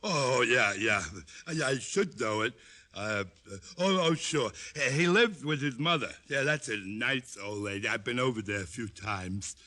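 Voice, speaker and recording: An American actor playing a sickly, wheedling pickpocket boss in an old radio drama, with a congested, slangy, hesitant delivery. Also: male voice, mid-range voice, expressive, measured pace, pushed and bright. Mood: distracted. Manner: slangy, hesitant, hypochondriac fussing. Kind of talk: 1940s-50s radio acting.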